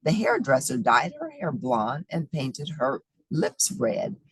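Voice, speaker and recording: Breathy woman reading a picture book aloud. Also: a bass voice, slow pace, dry recording. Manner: expressive, with playful asides. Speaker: storybook reader.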